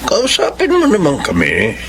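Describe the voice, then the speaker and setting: A man's voice: fairly high pitch, wildly swooping pitch, moderate pace. A man playing a caring middle-aged father in a Tagalog radio drama, affectionate and solicitous. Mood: concerned. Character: caring, concerned father of grown children. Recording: noisy background ambience.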